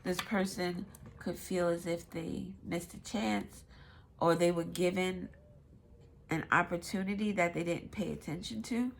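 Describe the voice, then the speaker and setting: A woman's voice: lowish voice, fairly even intonation, a little slow, vocal fry. Stuffy-nosed woman reading tarot casually. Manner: rambling, loose and swearing. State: stuffy nose. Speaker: tarot reader.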